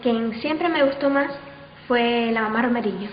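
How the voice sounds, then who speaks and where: A radio actress giving an interview, a woman who speaks emphatically and haltingly. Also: a little high. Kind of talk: interview talk. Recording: echoey hall, muffled.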